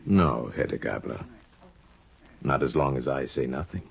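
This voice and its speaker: An actor playing a sly, insinuating middle-aged judge in a 1950 radio drama, probing and quietly menacing. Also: male voice, a bass voice, expressive, normal speed, gravelly, slightly rough, heavily acted. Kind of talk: 1950s radio drama acting. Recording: dull lo-fi 1950 radio broadcast.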